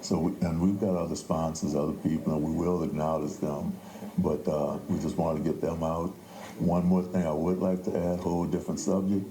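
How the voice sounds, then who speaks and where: An older man talks in a very deep register with a soft, dark tone. He is a playwright telling stories in conversation. The room echoes around him, with faint noise behind. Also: level tone, brisk. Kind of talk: interview conversation, storytelling. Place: indoors at a theater.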